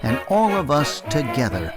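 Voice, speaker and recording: A man's voice: medium pitch, big pitch swings, moderate pace. A man presenting a scripted sign-off appeal to listeners. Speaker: show presenter. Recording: loud music behind voice.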